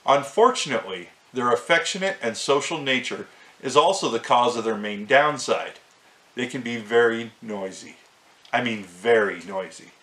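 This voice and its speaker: A middle-aged man hosting a short farm-animal video, reading a script to camera in a clear, measured way. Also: medium pitch, lively intonation, a bit bright, roomy sound. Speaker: host.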